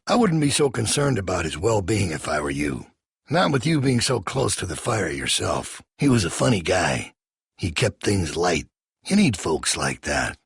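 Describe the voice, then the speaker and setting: Gravelly, menacing American camp leader. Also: male voice, lowish voice, dry recording, clean studio sound, full character voice. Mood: calm, threatening. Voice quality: gravelly, raspy. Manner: folksy, slow-burning menace, preachy. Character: menacing male survivor-camp leader, calm, controlling, ruthless. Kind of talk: video game voice acting.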